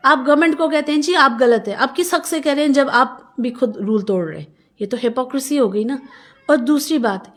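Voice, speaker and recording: Female interviewee, fairly high voice, argues a civic complaint emphatically with pointed rhetorical questions. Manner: emphatic, argumentative, rhetorical questions, mixes in English phrases. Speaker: local resident interviewed about a civic complaint. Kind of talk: street interview, airing a complaint.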